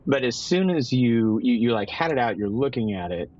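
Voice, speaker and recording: A man's voice: mid-range voice, lively intonation, normal speed, a little mellow. A man playing a tabletop game, improvising a story in casual, explanatory talk that restates itself. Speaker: guest tabletop game player. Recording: dull, slightly lo-fi microphone sound.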